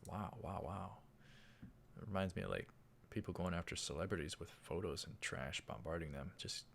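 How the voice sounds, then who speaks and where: A man hosting a let's play, reading visual novel text aloud with halting delivery and casual commentary. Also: deep voice, gravelly, a little hiss or hum. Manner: halting, repeats words, interjects mild exclamations.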